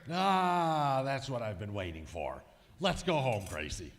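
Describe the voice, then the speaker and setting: A woman's voice: extremely low pitch, very animated, unhurried, exaggerated voice. A woman acting an exasperated, grumpy husband in old-time radio comedy style. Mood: impatient. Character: exasperated, grumpy husband chasing his scatterbrained wife. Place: live stage performance in a museum hall. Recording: echoey hall.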